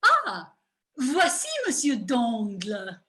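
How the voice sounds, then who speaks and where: Slow, high-voiced French interpreter played by a woman. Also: wildly swooping pitch, pushed and bright, heavily acted. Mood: ceremonious, insistent. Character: fussy French interpreter mangling English, earnest and ceremonious. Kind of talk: theatrical period comedy play reading.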